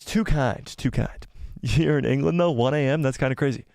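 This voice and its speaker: An excitable young man hosts a casual live stream, rambling and laughing between comments. His voice sits in a middle range and sounds a little worn, as if he is losing it. The sound is dry and close to the mic. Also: mid-range voice, normal speed, creaky, no room sound. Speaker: YouTube channel host.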